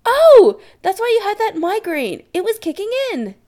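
Excitable young male apprentice sorcerer, played by a woman, gushing and emphatic, high and very animated, storybook style. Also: moderate pace. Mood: excited.

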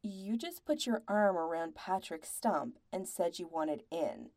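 A woman's voice: medium pitch, very animated, slow pace, a little mellow, dry recording, studio quality. A woman voicing a grim, exasperated young male bandmate in a story, blunt, slangy and accusing.

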